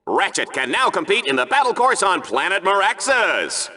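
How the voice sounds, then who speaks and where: An actor playing a bombastic, smug, bloodthirsty game-show sports announcer in a video game, with theatrical, mock-sincere delivery. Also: male voice, high voice, very animated, moderate pace, bright, forceful tone, heavily acted. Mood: proclaiming. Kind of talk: hyped-up arena commentary for a video game.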